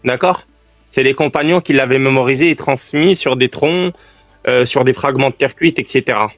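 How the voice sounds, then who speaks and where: A man who is a guest in a calm live-stream debate, speaking earnestly and in a friendly way while he searches for words. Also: medium pitch, normal speed, no room sound, soft background music. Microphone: remote live-stream call.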